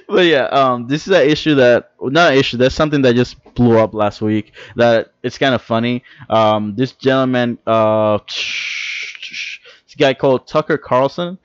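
A young man from the Dominican Republic rambles excitedly through casual podcast chat and curses freely. His voice sits at an ordinary middle pitch. The sound is dry and a little dull. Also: a little slow, clean tone, no echo. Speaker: podcast co-host.

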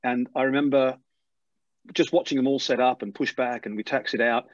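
A man's voice: medium pitch, no echo. A middle-aged man with an Australian accent worn down by years in England, telling an interviewer about his path to becoming an airline pilot with plenty of restarts. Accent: Australian, softened by years in England. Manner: animated storyteller, quick with many restarts. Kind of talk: storytelling interview. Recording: slightly dull lo-fi sound.

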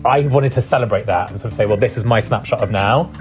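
A man's voice: fairly low pitch, fast talker, music in the background. A man, guest on a food podcast, talking about his cookbook in a reflective, searching way with frequent fillers. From Britain. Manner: thoughtful, searching for words, many fillers. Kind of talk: reflective conversational interview.

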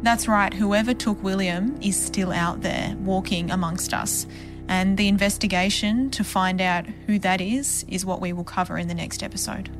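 A woman's voice: mid-range voice, steady tone, moderate pace, music in the background. An Australian woman presenting a true-crime podcast as host and reporter, narrating and discussing in a serious manner.

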